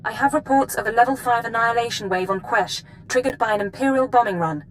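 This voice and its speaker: An actress playing a brisk, caring intelligence dispatcher in a video game, efficient and formal but concerned. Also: female voice, close to an everyday voice, low-quality recording. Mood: urgent, concerned. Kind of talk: dramatic game dialogue. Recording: rumbling noise behind.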